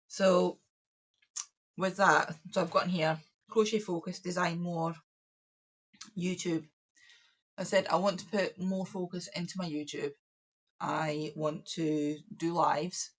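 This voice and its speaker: A woman with a croaky voice, talking through her plans for her craft business in a rambling, self-correcting way. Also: on the low side, flat delivery, slow, long pauses. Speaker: craft business owner and YouTuber. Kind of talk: casual vlog planning.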